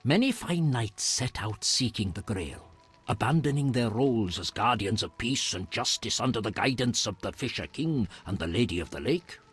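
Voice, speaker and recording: An actor playing a solemn, reverent hermit in a fantasy video game, with formal, measured phrasing and a husky voice. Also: male voice, lowish voice, animated, a bit bright, soft background music, exaggerated voice. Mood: reverent, wistful.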